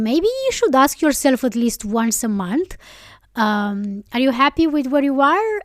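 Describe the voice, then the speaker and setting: Slow-talking woman podcaster. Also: fairly high pitch, no echo, clean studio sound. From Romania. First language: Romanian. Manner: reflective, rambling, self-correcting. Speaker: podcast host. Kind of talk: casual solo podcast reflection.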